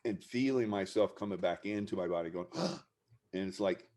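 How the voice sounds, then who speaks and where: A very deep-voiced man hosts an interview, responding in a relaxed, affirming way. He muses aloud on his guest's points and often rephrases as he goes. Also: normal speed. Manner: relaxed, affirming, thoughtful reflection. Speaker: host. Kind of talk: casual interview talk.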